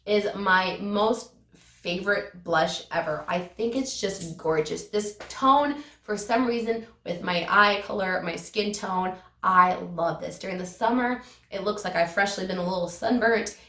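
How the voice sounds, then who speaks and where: A hoarse woman with a cold-roughened, slightly raspy voice chats enthusiastically through a product review. She lingers on her favorite words. She sounds a little away from the mic, in a roomy, echoing space. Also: medium pitch, a bit bright, far from the mic. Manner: enthusiastic, gushing, slows down to stress favorite words. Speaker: beauty vlogger. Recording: echoey room, voice a bit off-mic.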